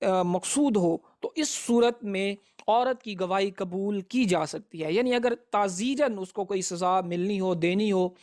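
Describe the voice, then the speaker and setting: A man presents a prepared law lesson. He speaks slowly and formally, moving methodically through his points as an advocate explaining the rules to students. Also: high voice, a little mellow. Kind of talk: prepared educational lecture.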